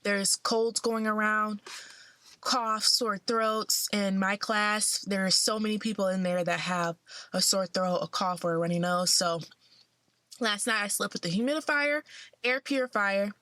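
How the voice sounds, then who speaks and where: A woman's voice: mid-range voice, measured pace, bright, forceful tone, no room sound. A young woman who sounds a bit congested, talking in a casual, upbeat and encouraging way to her vlog followers. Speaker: vlogger.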